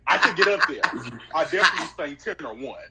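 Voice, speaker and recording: A man's voice: fairly high pitch, fast talker, over a noisy crowd. A man from Texas co-hosting a casual podcast chat, talking expressively and with emphasis. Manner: expressive, emphatic, says listen.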